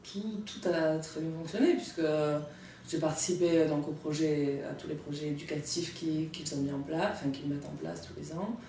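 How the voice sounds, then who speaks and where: A former volunteer speaks in a dark, gravelly and flat voice, slow to find words. The mic sits some way off, and the room echoes heavily around them with a faint hum. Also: mid-range voice, far from the mic. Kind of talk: casual testimonial.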